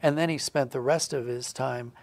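A man's voice: medium pitch, steady tone, normal speed, a little mellow, no room sound. A man giving earnest, reflective explanations as a guest in a conversational interview. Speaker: guest, audio drama writer.

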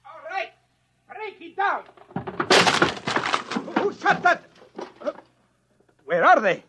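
An actor playing an excitable hotel manager in 1950s radio drama style. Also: male voice, very high voice, slow pace, heavy background noise, exaggerated voice. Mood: agitated. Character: excitable Mexican hotel manager, male, philosophical opportunist. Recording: muffled old radio recording with music.

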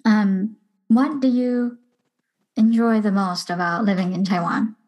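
A woman's voice: medium pitch, steady tone, a little slow, darkish tone, poor audio. A woman interviewer asking questions in a polite, appreciative way.

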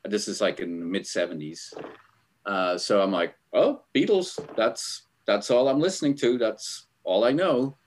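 A middle-aged man from Switzerland tells stories on a podcast in a rambling, easygoing way. His voice sits on the low side, and he fills his sentences with "you know" and "um". Now and then he breaks into short laughs. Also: a little slow. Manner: rambling, filler-heavy, laughs while telling stories. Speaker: guest, album art director. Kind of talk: casual interview storytelling.

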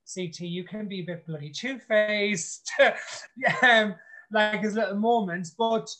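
A man's voice: high voice, slow, bright, forceful tone, a little hiss or hum. A man, a reality show contestant, chatting in an interview in an outspoken, blunt way, swearing freely. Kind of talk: casual interview chat.

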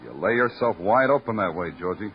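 An actor playing a world-weary police detective with hardboiled, poetic phrasing in the style of vintage radio noir. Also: male voice, lowish voice, lively intonation, brisk. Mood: dry, probing. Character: world-weary male police detective narrating his city beat. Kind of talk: 1940s-50s radio noir narration. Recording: muffled vintage radio, music behind.

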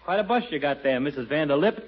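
A man's voice: a little high, animated, fast, background noise, lightly acted. A man playing a brash, uncouth small-town husband. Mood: chummy. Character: folksy uncouth husband, crude and chummy. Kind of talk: 1940s radio comedy acting. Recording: muffled old radio broadcast with audience.

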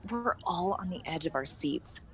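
A woman's voice: on the low side, animated, moderate pace. A woman playing a chirpy, eager young red carpet reporter in a comedic radio sketch, polite and gushing.

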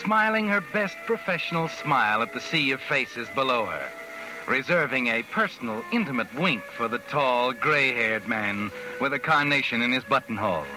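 An actor playing a sinister, knowing storyteller, narrating a 1940s radio mystery in an ominous, measured, theatrical way. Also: male voice, mid-range voice, expressive, lightly acted, low-quality recording. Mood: sardonic, knowing. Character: the Whistler, sinister, knowing night-walking male storyteller. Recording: old radio recording with music behind.

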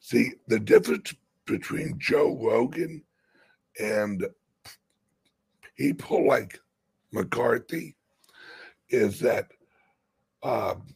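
Slow raspy man with gravelly voice. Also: medium pitch, expressive, low-quality recording. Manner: emphatic, rambling with restarts. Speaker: crypto show host. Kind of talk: casual political chat.

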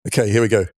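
A man in his forties co-hosting a podcast, croaky, laughing and self-deprecating in casual chat. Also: darkish tone, dry recording, studio quality. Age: 40s. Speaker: podcast co-host.

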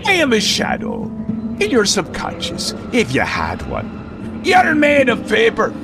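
A man's voice: high-pitched, huge pitch swings, unhurried, creaky, loud music behind the voice, full character voice. A man playing a gleefully deranged, mocking and bloodthirsty god in a radio drama, flamboyant and lurching between glee and menace. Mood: manic mockery. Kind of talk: old-time radio drama acting.

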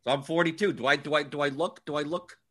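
A man's voice: a little high, steady tone, fast, pushed and bright. A man in his forties hosting a casual livestream chat, wry and grumbling, often repeating himself. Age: 40s. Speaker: livestream host.

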